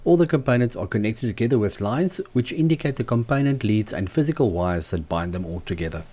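A man narrating a scripted how-to tutorial as the channel's host, in a measured, explanatory manner. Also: on the low side, lively intonation, warm tone, husky. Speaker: host of a DIY loudspeaker channel.

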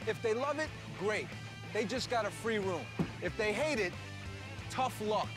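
A man's voice: high-pitched, lively intonation. Punchy male renovation host over music. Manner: brisk, punchy, salesy. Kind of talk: upbeat TV host narration and chat.

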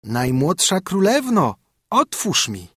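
A persistent frog from a fairy tale, drawing out her words slowly and coaxing. A man puts her on. The voice is breathy and husky, recorded close and dry. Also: high-pitched, wildly swooping pitch, dry recording, pitched above the performer's own voice, heavily acted. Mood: insistent. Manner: slow, drawn-out, coaxing.

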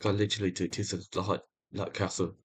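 A young man casually commenting on his own gameplay, excitable and talking to viewers, often repeating himself. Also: low-pitched, brisk. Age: young adult. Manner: excitable, talks to viewers while playing, repeats phrases. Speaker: gaming channel host. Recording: loud background noise.

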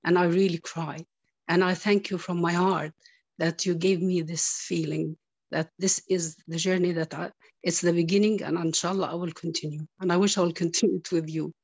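A woman's voice: lowish voice, fairly even intonation, normal speed, a little mellow, slightly rough, no echo. A woman, native Arabic speaker with an Arabic accent, giving a heartfelt informal thanks as a student on a video call.

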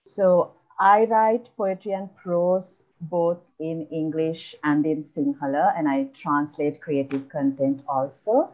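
A woman's voice: low-pitched, slow pace, few pauses, a little mellow. A woman in her thirties with a Sri Lankan accent, informally introducing herself as a poet over a video call. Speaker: poet and translator. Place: indoor room with bookshelves. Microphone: video call, close to the camera. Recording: dull video-call audio.

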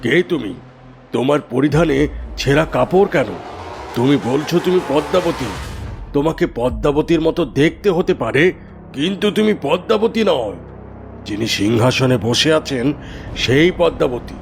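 A man's voice: a little high, lively intonation, normal speed, vocal fry, background noise. A man voicing a cunning, formal and authoritative royal minister in a fairy tale cartoon. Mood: stern, scornful.

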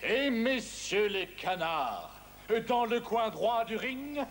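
A man's voice: sits high, very animated, takes it slowly, fairly bright tone, full character voice. A man voicing a big pompous duck who referees and announces boxing in a cartoon, with grandiose delivery. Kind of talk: ring announcing in French-dubbed cartoon. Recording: old cartoon soundtrack with music.

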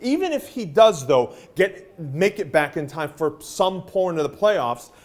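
A middle-aged man gives sports analysis in a loose, conversational way, often pausing on fillers. His voice sits somewhat high for a man. He is recorded on a lapel mic in an office newsroom studio. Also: sing-song, a little mellow.

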